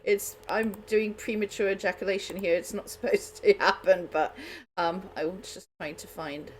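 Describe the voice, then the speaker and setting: A woman's voice: mid-range voice, fairly even intonation, normal speed, a little hiss or hum. Casual, chatty woman hosting a radio show. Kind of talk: casual unscripted chat.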